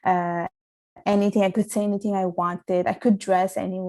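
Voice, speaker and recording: A woman's voice: mid-range voice, level tone, normal speed, warm tone. A young woman from Italy who is a podcast guest, telling her life story in an earnest, reflective way with frequent restarts. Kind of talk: casual interview storytelling.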